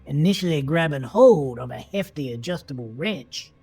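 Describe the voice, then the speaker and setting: A man narrating a scripted horror story in a dramatic, deliberate, suspenseful manner. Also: on the high side, lively intonation, gravelly, darkish tone, slightly rough. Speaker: audiobook-style narrator. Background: music under the voice.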